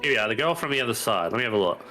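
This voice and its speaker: A man's voice: medium pitch, animated, racing, sharp tone, faint music behind. A man with a stuffy nose from hay fever, chatting casually on a live stream and thinking aloud as he browses. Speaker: stream co-host.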